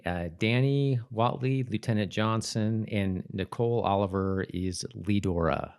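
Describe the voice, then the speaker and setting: A man co-hosting a podcast in casual conversation. His voice sits a little low and comes across smooth and clean, with an informal, chatty commentary style. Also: a little slow, a little mellow. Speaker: podcast host.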